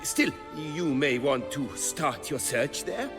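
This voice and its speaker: An actor playing a proud, wistful master armorer in a video game, telling tales in a formal, measured manner. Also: male voice, fairly high pitch, animated. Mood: wry, recounting. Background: music.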